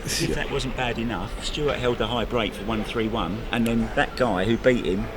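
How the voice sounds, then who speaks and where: A man's voice: mid-range voice, normal speed, over a noisy crowd. A man commentating live at a pool tournament, chatting and joking with guests between shots. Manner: jokey, chatty, laughs often. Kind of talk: casual live commentary chat. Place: tournament venue.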